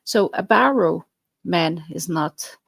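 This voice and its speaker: A woman's voice: on the low side, animated, slow, gravelly, husky, dry recording. A woman with a Portuguese accent, Portuguese her first language, hosting a podcast and explaining earnestly with some fillers and restarts. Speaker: podcast host. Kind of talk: podcast monologue.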